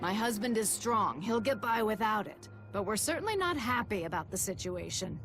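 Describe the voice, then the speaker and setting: A woman's voice: mid-range voice, animated, normal speed, over music, lightly acted. An actress playing an outspoken, easily indignant married townswoman in a video game, with a blunt, dramatic way of talking. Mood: reproachful. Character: indignant, outspoken married woman gathering herbs. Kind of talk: video game dialogue acting.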